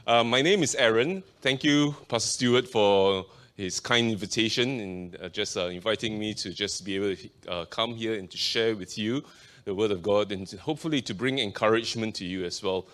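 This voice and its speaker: A man's voice: on the low side, normal speed, fairly bright tone. Earnest Singaporean guest preacher, man. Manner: earnest, fervent praying with many repeated invocations of the Lord. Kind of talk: sermon with prayer and scripture reading.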